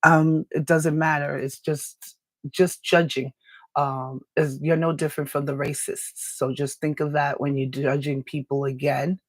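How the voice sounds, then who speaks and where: Woman talk-show host, raspy and dry-throated, earnest lecturing tone, close dry sound. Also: deep voice, flat delivery, measured pace, no echo. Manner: earnest, lecturing, with small laughs and restarts. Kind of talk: live talk radio monologue answering comments.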